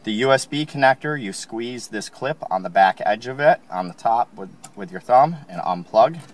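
A man calmly explaining, step by step, how to install a car part, recorded inside a car. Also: medium pitch, moderate pace. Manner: calm, plain instructional. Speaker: installation instructor.

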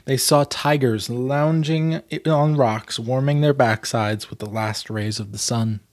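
A man in his thirties reads a picture book aloud in a medium-pitched voice. He stretches words for effect and slips into casual commentary. He is close to a desk microphone, with very little room sound. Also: a little slow, no room sound. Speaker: host reading a children's book aloud. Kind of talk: storybook reading with casual commentary. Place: small plain room indoors. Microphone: close desktop microphone.

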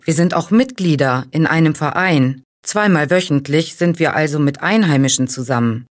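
A woman reading a scripted personal account for language learners in a plain, even way. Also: sits low, moderate pace. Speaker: reader of listening texts.

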